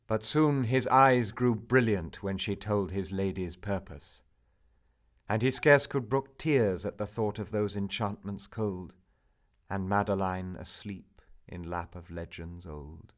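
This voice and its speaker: A man narrating a poem in a theatrical, measured manner, swelling for emphasis and hushing at line endings. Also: on the low side. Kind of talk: dramatic narrative poetry recital.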